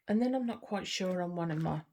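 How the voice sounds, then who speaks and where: A woman's voice: on the low side, animated, a little fast, warm tone. A woman chatting casually to camera while she works on a craft kit, murmuring and trailing off, a little sniffly. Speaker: craft vlogger.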